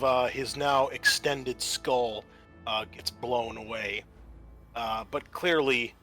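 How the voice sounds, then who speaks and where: A man's voice: mid-range voice, pushed and bright. A man acting as game master, narrating and refereeing a tabletop roleplaying game.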